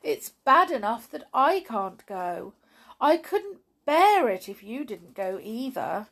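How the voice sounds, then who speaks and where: A woman acts out a sensitive little female doll from a children's storybook. The voice is high and very swoopy, tearful and prone to stammer or turn cross when upset. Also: animated, takes it slowly, gravelly, heavily acted.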